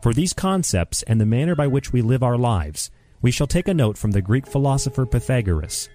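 A man reading a scripted promo in a measured, formal way. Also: medium pitch, lively intonation, mellow, faint music behind. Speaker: radio ad announcer.

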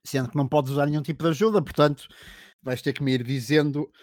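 Quiz host, man, European Portuguese accent, fairly high voice, dry close sound. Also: steady tone, quick pace, darkish tone, dry recording. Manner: reads out clues, laughs often. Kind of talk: casual podcast quiz chat.

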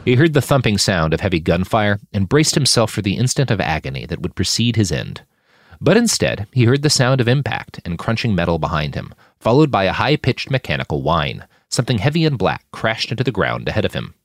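A man's voice: fairly low pitch, sing-song, fairly quick, no room sound, clean studio sound. A man reading a fiction story aloud as a dramatic audiobook narrator. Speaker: author narrating his fiction podcast.

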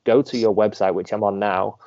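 Enthusiastic podcast host, warm low voice. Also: male voice, steady tone, a little fast, lo-fi sound. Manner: enthusiastic, fillers like "like". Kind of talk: casual interview.

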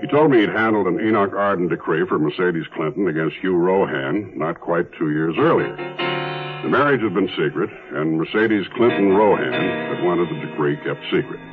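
An actor playing a shrewd, methodical police detective who narrates his case in a measured way in a 1970s radio drama. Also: low-pitched, animated. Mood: businesslike, determined. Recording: dull 1970s radio recording with music.

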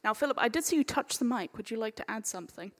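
A woman's voice: fairly high pitch, animated, fast talker. A woman chairing a live panel in a playful, chatty, informal way. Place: large indoor hall at a live panel event. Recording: echoey hall, live event.

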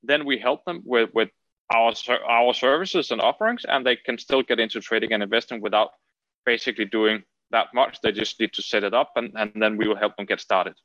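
A man with a Danish accent talks as an interview guest in a dry recording. He is conversational and halting, often doubling back on his words. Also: fairly low pitch, a bit bright, dry recording, dull audio. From Denmark. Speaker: podcast guest, trading entrepreneur.

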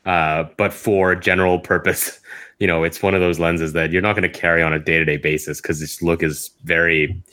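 A man in a casual chat, animated and quick to react with interest. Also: deep voice.

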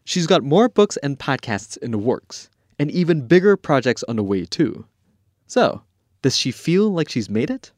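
Lively-pitched man hosting. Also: normal speed, dry recording, clean studio sound. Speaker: interviewer and podcast host. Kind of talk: scripted narration and conversational interviewing.

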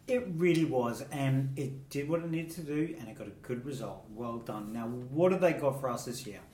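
A man's voice: medium pitch, expressive, normal speed, a little mellow, far from the mic. A man co-hosting a casual chat that reviews song contest entries, talking fondly and laughing often. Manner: chatty, laughs often, fond asides. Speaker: co-host. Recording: roomy echo, set back from the mic.

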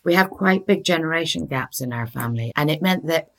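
A woman's voice: low-pitched, lively intonation, a little fast, vocal fry, a bit bright. A middle-aged woman from Scotland, a translator patiently explaining in an interview, often correcting herself. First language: Norwegian and English, bilingual. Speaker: guest, literary translator.

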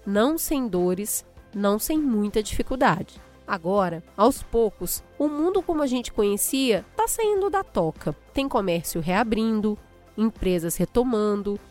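A woman hosting a podcast, reading a chatty scripted intro full of rhetorical questions, then interviewing a guest. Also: fairly high pitch. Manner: friendly, conversational, rhetorical questions. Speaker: podcast host.

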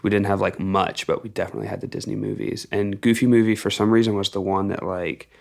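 Podcast guest: young American male musician, low-pitched with plenty of fry, informal rambling storyteller. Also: flat delivery, quick pace, darkish tone. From Texas, United States. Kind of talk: casual storytelling in an interview.

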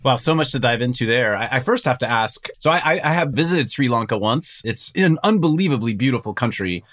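A man's voice: medium pitch, lively intonation, brisk, fairly bright tone. A man hosting an interview podcast, asking curious, reflective questions. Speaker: podcast host.

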